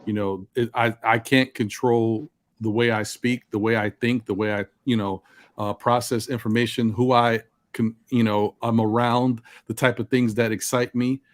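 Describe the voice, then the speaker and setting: Deep-voiced, articulate man. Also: flat delivery, moderate pace, no room sound, studio quality. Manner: precise, articulate enunciation. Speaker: panel participant. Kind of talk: reflective personal talk in a group discussion.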